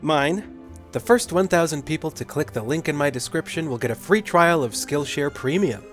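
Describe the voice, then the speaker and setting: A man's voice: fairly high pitch, lively intonation, normal speed, a bit bright, faint music behind. A man delivering scripted video-essay narration as the host of a film review.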